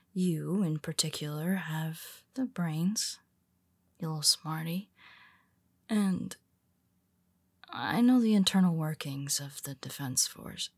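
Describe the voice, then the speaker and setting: A woman playing a husky, whispering superhero. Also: on the low side, no room sound, full character voice. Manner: hesitant and soft-spoken, with many restarts. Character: tender, nervous young female superhero. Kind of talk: audio roleplay for a listener.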